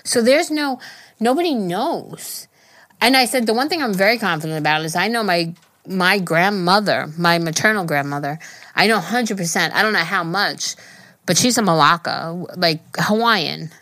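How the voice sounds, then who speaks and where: A woman's voice: lowish voice, normal speed, fairly bright tone, dry recording. A woman co-hosting a podcast, chatting casually and rambling through restarts, with a slight tickle in her throat. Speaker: podcast co-host. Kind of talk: casual chat.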